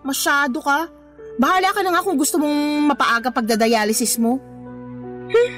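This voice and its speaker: A woman's voice: sits high, moderate pace, a bit bright. Fed-up young girlfriend. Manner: scolding, exasperated retorts. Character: patient but fed-up young live-in girlfriend. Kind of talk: radio drama acting. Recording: radio drama with faint background music.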